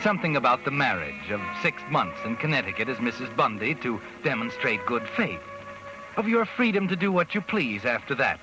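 An actor playing a knowing, taunting mystery narrator in a 1940s radio drama. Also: male voice, medium pitch, sing-song, fairly quick, natural voice, rough recording. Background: loud music.

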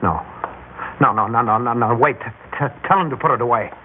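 An actor in a classic radio drama playing an anxious, cornered air traffic controller who tends to stammer. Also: male voice, mid-range voice, brisk, lightly acted. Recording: tinny, noisy old radio broadcast.

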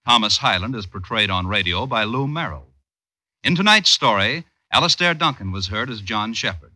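A man announcing in formal 1950s radio style. His voice is very bright and forceful, fairly low, and recorded dry and close. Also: expressive, normal speed, no room sound.

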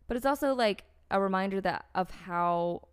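Chatty American woman with a smooth voice. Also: mid-range voice, moderate pace. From United States. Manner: rambling, heavy use of "like" and "um", frequent restarts. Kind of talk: casual conversational storytelling.

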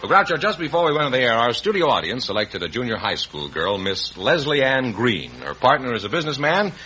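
An American man announcing in polished, formal 1950s radio style, like a smooth salesman. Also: medium pitch, very animated, fairly quick, sharp tone, soft background music. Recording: muffled 1950s radio broadcast.

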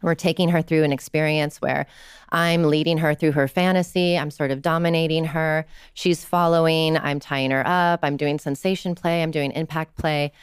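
A woman therapist talking as a podcast guest, telling client stories in a lively way and acting out quotes. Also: deep voice, normal speed, clear, resonant tone, dry recording, studio quality. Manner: animated storyteller, acts out quotes. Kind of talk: conversational interview.

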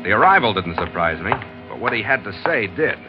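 Animated tough-guy sea captain, vintage radio. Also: on the low side, a little fast, music in the background. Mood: wry narration. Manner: clipped, slangy tough-guy talk. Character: tough, wry, hard-boiled ship captain and narrator. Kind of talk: old-time radio drama acting. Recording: dull, lo-fi vintage radio recording.

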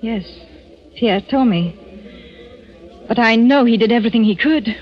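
An actress playing a young, trusting but anxious doctor's bride in a 1940s radio drama, earnest and questioning. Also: female voice, a little high, measured pace, close to an everyday voice. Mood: polite, defensive. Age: young adult. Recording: muffled 1940s radio, organ music behind.

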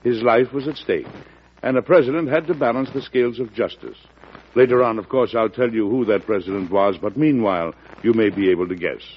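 A man's voice: fairly low pitch, fairly quick, a little hiss or hum, lightly acted. An actor playing a wry, genial older president who tells his story to the listener in a chatty, folksy way, as in a 1940s radio play. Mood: confiding. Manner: chatty and folksy, thinking aloud. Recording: dull, lo-fi old radio recording.

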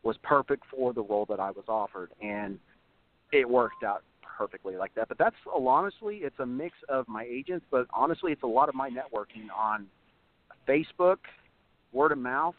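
A slightly hoarse young man, an actor, chatting casually in an interview, rambling with many fillers and restarts. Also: medium pitch, lively intonation, moderate pace. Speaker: actor and voice actor guest. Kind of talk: casual interview over the phone. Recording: tinny phone line, dry.

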